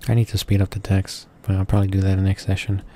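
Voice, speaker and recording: A man doing a casual read-along of a visual novel, reading haltingly, stumbling over words and correcting himself, with asides of his own. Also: low voice, monotone, mellow, a little hiss or hum. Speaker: gaming video creator reading a visual novel aloud.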